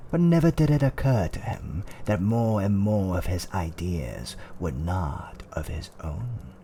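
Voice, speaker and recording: Low, slow, dark-voiced male storyteller. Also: a little hiss or hum. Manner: theatrical, hushed, deliberate delivery. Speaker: storyteller in a roleplay audio. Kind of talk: scripted ASMR fireside storytelling, dark fantasy.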